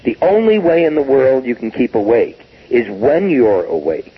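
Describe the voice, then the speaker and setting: A man's voice: medium pitch, normal speed, husky, muffled recording. A man in his sixties, a business guest talking emphatically in a recorded interview, acting out quotes as he goes. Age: 60s. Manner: emphatic, animated storytelling with acted quotes.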